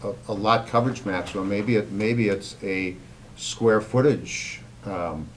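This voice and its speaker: Hesitant man with a lowish voice. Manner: hesitant, halting, repeats words. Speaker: public meeting participant. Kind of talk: meeting discussion. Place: indoor meeting room. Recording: noisy meeting room recording.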